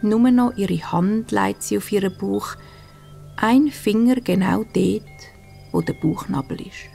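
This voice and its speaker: Very slow, hushed Swiss German woman storyteller. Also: medium pitch. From Switzerland. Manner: soft, soothing, breathy hushed delivery with gentle pauses. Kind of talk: scripted bedtime story narration for children.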